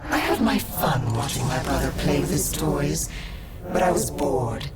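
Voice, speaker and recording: A woman's voice: deep voice, very animated, a little slow, over loud music. A woman voicing a sly, bored demigoddess of deception in a video game, theatrical and mocking. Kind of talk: video game cutscene acting.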